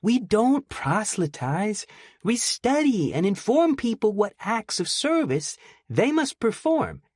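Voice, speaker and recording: A man voicing an earnest, preachy young woman researcher with a breathy, thin voice in a storybook reading. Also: sits high, sing-song, slow pace, a little mellow, no room sound, clean studio sound, voice pushed up, exaggerated voice. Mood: lecturing. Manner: lecturing, insistent.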